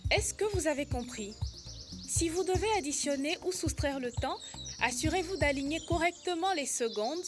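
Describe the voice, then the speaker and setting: A helpful young girl from an educational cartoon, performed by a woman. She speaks in a high voice with an encouraging, explanatory manner. Music plays clearly underneath. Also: moderate pace, lightly acted. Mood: explaining. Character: kind, helpful young girl race timekeeper and teacher. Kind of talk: educational children's cartoon dubbing.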